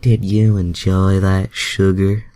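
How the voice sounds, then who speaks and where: A man's voice: sits low, little pitch movement, takes it slowly, mellow, pitched above the performer's own voice. A man voicing a flirtatious, confident mutant heroine, coy and teasing, for a fan fiction story.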